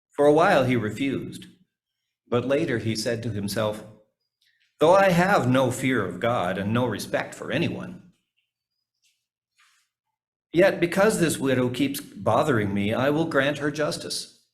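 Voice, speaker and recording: A man reading Bible passages aloud as a scripture reader in a church service. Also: medium pitch.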